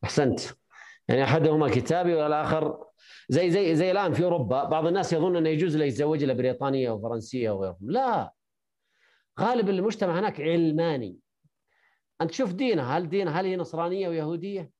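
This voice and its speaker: A man teaching a jurisprudence lesson online, briskly quizzing and correcting his students. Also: on the high side, animated, a little mellow.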